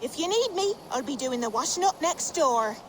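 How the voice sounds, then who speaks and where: An actress playing a homely village housewife who gives plain, familiar instructions in a game. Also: female voice, high voice, expressive, moderate pace, background noise. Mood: homely, instructing. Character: homely, bossy village housewife giving kitchen chores. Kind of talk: game cutscene acting.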